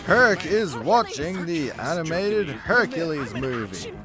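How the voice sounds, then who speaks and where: A man's voice: on the high side, very animated, takes it slowly, music in the background. A man narrating a scripted comedy radio play, setting each scene as storyteller.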